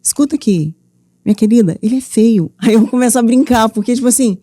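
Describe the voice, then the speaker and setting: A middle-aged woman from Minas Gerais with a Minas accent, telling her story as a studio interview guest with lively, playful earnestness. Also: a little high, quick pace, no room sound. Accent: Minas Gerais Brazilian Portuguese. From Minas Gerais, Brazil. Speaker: guest, author and mentor.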